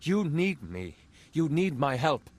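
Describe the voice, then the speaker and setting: An actor playing an earnest, troubled secret agent in a game, serious and urgent in manner. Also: male voice, on the high side, sing-song, slow, slight background noise. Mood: desperate. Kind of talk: video game cutscene acting.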